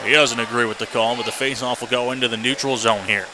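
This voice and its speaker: Over clear background noise from an indoor rink, a man calls a hockey game live for radio. His pitch sits in the middle range for a man, and he follows the action in short, clipped phrases as a play-by-play announcer. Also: quick pace. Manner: clipped running phrases, excited shouts on big plays. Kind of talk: live sports radio commentary. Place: indoor ice hockey arena. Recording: noisy live arena broadcast.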